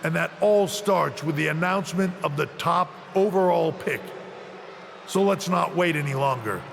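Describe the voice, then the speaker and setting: A ceremonial wrestling executive in a video game. His fairly high, creaky voice builds suspense and rings through a reverberant hall over faint noise. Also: normal speed. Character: formal male wrestling executive announcing to an arena. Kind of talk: staged announcement in a game cutscene. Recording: echoing arena PA with faint noise.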